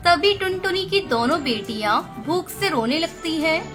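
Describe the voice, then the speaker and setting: A woman tells a children's cartoon story in a plain, steady narrating style. Her voice sits very high, and music plays clearly beneath her. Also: a little slow. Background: background music.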